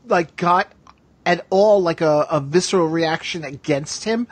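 A man hosting a casual discussion, chatty and thinking out loud as he goes. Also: a little high, a little slow, breathy edge, no room sound. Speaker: podcast host.

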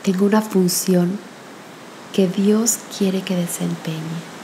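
A woman leading a guided spiritual meditation in a gentle, soothing manner with long pauses. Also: on the low side, fairly even intonation, slight background noise, poor audio.

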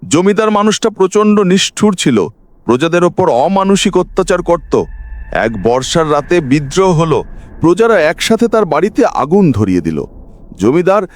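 A man voicing a grave old village uncle in a horror story, speaking slowly with ominous warning. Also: sits high, sing-song. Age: older. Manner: slow, grave, hushed storytelling.

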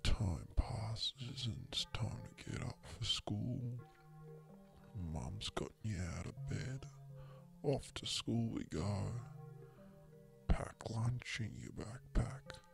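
A low-voiced Australian man guides a mock meditation over clear background music. He speaks extremely slowly and repeats himself, his breathy voice slipping in and out of a whisper. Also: lively intonation. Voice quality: breathy, often dropping into a whisper. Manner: slow, drawn-out, rambling and repetitive. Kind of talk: comedic guided meditation, ASMR-like. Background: background audio music.

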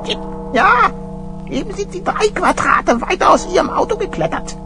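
Eine Frau spielt in einem Kinderhörspiel einen kleinen, aufgeregt piepsenden Vogel, der eifrig Wache hält. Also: female voice, extremely high pitch, normal speed, over music. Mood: excited, alert. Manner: excited, peppers speech with chirps. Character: small eager cartoon bird, a chirping lookout. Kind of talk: children's audio play acting.

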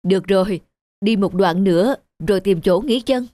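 An actor playing a calm, patient old master in a cartoon folktale, measured and kindly. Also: male voice, high-pitched, lightly acted. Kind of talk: cartoon voice acting.

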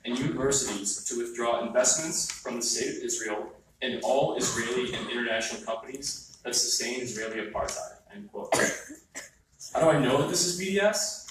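A young man delivers a measured, earnest prepared statement in a large meeting hall. He is heard from far back, through a phone at the back of the room. Heavy reverb surrounds his voice, with a faint hiss underneath. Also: medium pitch, fairly bright tone. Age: young adult. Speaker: student speaker at public comment. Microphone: phone recording from far back in the room.

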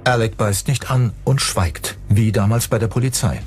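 A man's voice: fairly low pitch, normal speed, a little mellow, soft background music. A man reading scripted true-crime documentary narration as the narrator.

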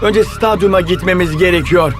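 A man's voice: sits high, normal speed, over music, close to an everyday voice. A man playing an earnest, eager young footballer hero in a cartoon, speaking expressively. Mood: determined. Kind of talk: cartoon dubbing.

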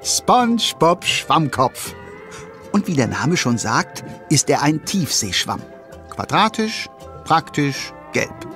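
A man playing a cheerful storyteller, narrating a German children's cartoon audio drama with animated delivery. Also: on the high side, slow pace, soft background music, heavily acted. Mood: cheerful, amused.

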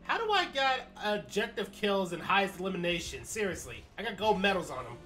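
A man's voice: high voice, very animated, a little fast, sharp tone, faint music behind. A man playing a team shooter with a friend, making wry, complaining remarks about the game. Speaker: co-op gaming partner. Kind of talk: casual gaming chat.

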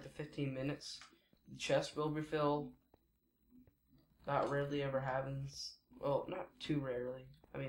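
Rambling teen gamer, echoey room. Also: male voice, a little high. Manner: rambling, chatty, frequent self-corrections. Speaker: gaming YouTuber. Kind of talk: casual Let's Play commentary. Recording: echoey room with noise behind.

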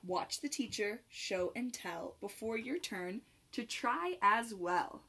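A young adult woman reading a rhyming picture book aloud to children in an expressive, sing-song manner with clear phrasing. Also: a little high, takes it slowly. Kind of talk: children's read-aloud, rhymed storytelling.

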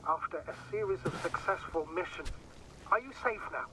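High-voiced, earnest male space commander. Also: expressive, normal speed, noisy background. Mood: remorseful. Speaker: mission commander. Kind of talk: dramatic video game acting.

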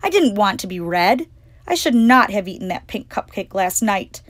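Lady doing kids storytime read aloud, super animated and expressive, normal pitch, dry sound. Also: moderate pace, no echo. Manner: expressive, clearly phrased storytelling. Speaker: storytime reader and host. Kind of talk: animated children's picture book read-aloud.

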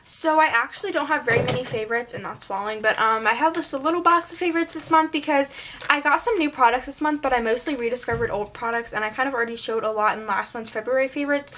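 A woman's voice: a little high, quick pace, sharp tone, slight background noise. Give me a chatty young woman with a fading, congested voice. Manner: chatty, rambling, filler-heavy. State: losing her voice, congested. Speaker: beauty vlogger. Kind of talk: casual product review. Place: small bedroom, indoors. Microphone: camera mic at close range.

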